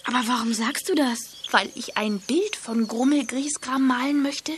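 An actress playing a cheerful young heroine girl in a children's radio play, bright and eager. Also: high-pitched, normal speed, noisy background, lightly acted.

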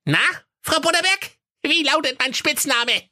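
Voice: high-pitched, unhurried, sharp tone, no room sound, clean studio sound, full character voice. A performer playing a small, ugly, wicked gnome doctor, bossy and gloating, in a comic fairy tale audio play. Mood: smug, impatient. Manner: pushy, scolding.

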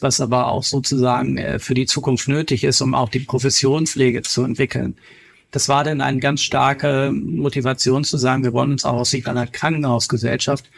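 A man from northern Germany answering as an interview guest, explaining things at length in a measured, earnest way. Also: mid-range voice, vocal fry. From Kiel, northern Germany. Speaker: interviewed guest, healthcare executive and author.

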